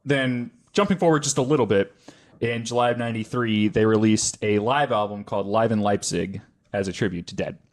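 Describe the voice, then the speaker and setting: Man telling a story, halting and self-correcting. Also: on the low side. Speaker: podcast host. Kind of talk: casual storytelling from notes.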